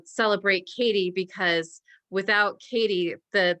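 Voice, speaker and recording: Woman event moderator, slightly low pitch, crisp bright edge, appreciative and measured, closing an online panel. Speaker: talkback moderator. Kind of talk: closing remarks at an online talkback.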